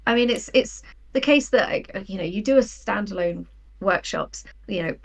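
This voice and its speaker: A woman's voice: mid-range voice, lively intonation, moderate pace, gravelly. A woman, talking as an interview guest in a conversational, enthusiastic, explanatory way. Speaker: guest, audio drama writer and producer.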